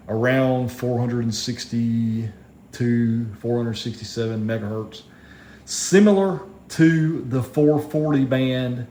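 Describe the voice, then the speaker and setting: A man with a soft, mellow voice gives a casual gear review in a plainspoken, rambling way. He sounds like a hobbyist explaining his equipment. The room is clearly echoey, with faint noise behind him. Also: mid-range voice. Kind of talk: casual product review vlog.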